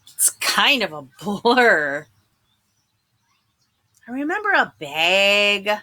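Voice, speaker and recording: A woman reading a children's picture book aloud, expressive and dramatic, putting questions to her listeners. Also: medium pitch, extremely slow. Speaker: storybook reader.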